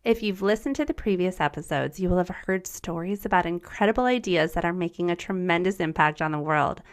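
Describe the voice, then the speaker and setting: A woman's voice: lowish voice, a little fast. A woman in her forties, a native German speaker from the United States, hosting a solo podcast in an upbeat, encouraging, earnest way. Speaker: podcast host. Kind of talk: scripted solo podcast monologue.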